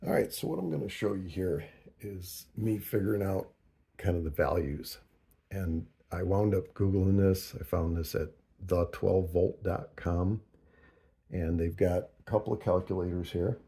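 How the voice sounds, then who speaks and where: A man with a low, creaky voice talks through a do-it-yourself tutorial. His tone is dark and mellow, and he speaks casually and deliberately, pausing as he thinks aloud. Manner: deliberate, halting, working through figures aloud. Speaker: host of a DIY audio tutorial.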